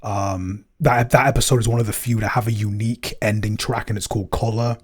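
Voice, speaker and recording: A man co-hosting a casual podcast Q&A, explaining things in a hesitant, measured way. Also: fairly low pitch, steady tone, breathy edge. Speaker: podcast co-host and producer. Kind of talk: casual Q&A chat.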